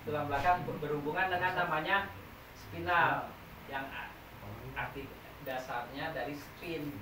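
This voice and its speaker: A man leads a massage therapy class, explaining step by step and throwing questions at the students. His voice sits a little high. It comes from across an echoey, noisy room, well away from the mic. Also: steady tone, a little slow, recorded from a distance. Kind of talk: teaching a training class.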